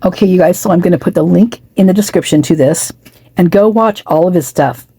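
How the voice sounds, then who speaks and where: Woman losing her voice, gravelly and husky, mellow tone, rambling chatty commentary. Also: lowish voice, fairly quick. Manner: chatty, many restarts and asides. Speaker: true crime commentator.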